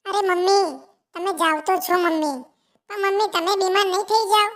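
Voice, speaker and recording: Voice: very high-pitched, steady tone, a little fast, a bit bright. A performer voicing an eager young sparrow chick in a children's cartoon tale, pleading insistently and repeating itself. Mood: concerned. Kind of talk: animated children's story dialogue.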